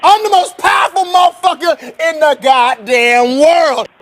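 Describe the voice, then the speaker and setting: A man playing an indignant, bombastic anger translator for a president, ranting loudly in sketch comedy clips. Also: very high voice, a little slow. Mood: outraged. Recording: noisy soundboard clips over phone.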